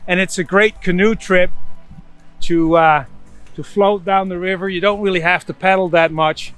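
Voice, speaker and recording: A man's voice: high voice, fairly even intonation, normal speed, a bit bright, clear, resonant tone, soft background music. A man hosting a casual devotional, telling Bible stories in a folksy, conversational way peppered with "you know". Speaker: host, Bible storyteller.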